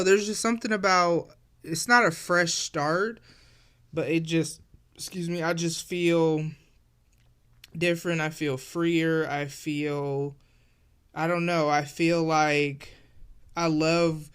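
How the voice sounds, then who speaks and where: A woman's voice: deep voice, a bit bright, clear, resonant tone. A woman of forty hosting a casual solo podcast, talking in a reflective, loose and chatty way straight to her listeners. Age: 40s. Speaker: podcast host.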